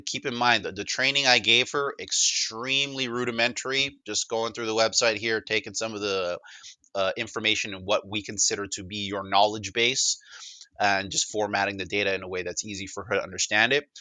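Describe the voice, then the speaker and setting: A man's voice: on the low side, moderate pace, sharp tone, no room sound, low-quality recording. A man presenting a demo in casual conversation, upbeat and informal, often feeling his way through a sentence. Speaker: presenter demonstrating a voice assistant.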